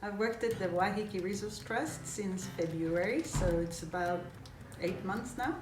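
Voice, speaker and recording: A woman, speaking in a relaxed, thoughtful way and often pausing with hesitations as she describes her food rescue work. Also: medium pitch, noisy background. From Israel. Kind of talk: documentary interview. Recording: roomy, distant mic with music.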